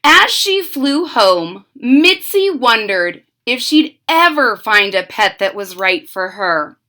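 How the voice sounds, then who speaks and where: Highly expressive woman reading a storybook aloud. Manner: addresses young listeners with questions. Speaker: storybook reader and channel host. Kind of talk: expressive children's read-aloud, with chatty asides.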